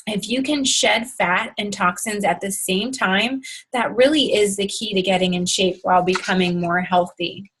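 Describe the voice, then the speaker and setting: A woman presenting a product webinar over slides, in an upbeat sales-pitch manner, often opening sentences with "so" and using small fillers. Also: fairly bright tone, breathy edge, low-quality recording.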